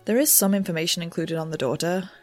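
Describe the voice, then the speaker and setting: An actress playing an eager, nervy young amateur ghost researcher, talking in wry, rambling audio notes for an audio drama. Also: female voice, lowish voice, fast talker, soft background music, natural voice. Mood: earnest, explanatory. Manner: rambling, wry, animated asides.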